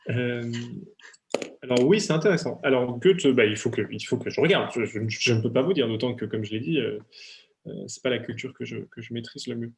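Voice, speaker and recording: A man's voice: mid-range voice, brisk, creaky, slight background noise. A young man, a writer, answering audience questions with many hesitations and restarts, heard over a video call. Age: young adult. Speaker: author. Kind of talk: talk with audience questions, then reading aloud. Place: at home for an online talk.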